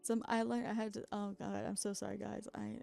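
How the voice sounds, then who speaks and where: A woman talks through her crafting in a relaxed, chatty voice-over with frequent self-deprecating laughs. She is worn out from a sleepless night, so her voice is creaky and keeps cracking. Faint background music plays beneath her. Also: medium pitch, brisk. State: very tired after staying up all night. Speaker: doll customizing creator. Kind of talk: casual craft voice-over narration.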